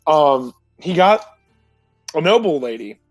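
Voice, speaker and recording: A man informally recapping a previous tabletop roleplay session as a player, in a halting, self-correcting way. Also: on the high side, very animated, no room sound. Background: music.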